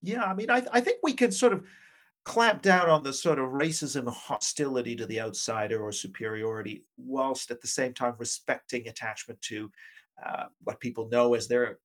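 A middle-aged man gives thoughtful, measured interview answers in an academic, hedging manner. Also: medium pitch, fairly bright tone. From Vancouver, Canada, and partly Asia. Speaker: interview guest, politics professor.

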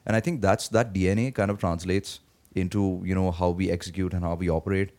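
Man, very low voice, flat delivery, worn voice, halting answers, interview guest. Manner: halting, many fillers and restarts. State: voice worn from days of talking. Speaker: guest, blockchain project team member. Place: conference venue.

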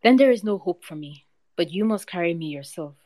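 A woman's voice: on the low side, animated, fairly quick, a little mellow, no room sound, lightly acted. A woman reading as a solemn king of snakes. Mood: grave. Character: proud male snake king, wise and fatalistic.

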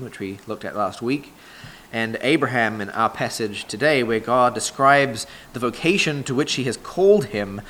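A pastor with a sore throat, hoarse, reading scripture and preaching in a measured, deliberate sermon cadence. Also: male voice, medium pitch, a bit bright.

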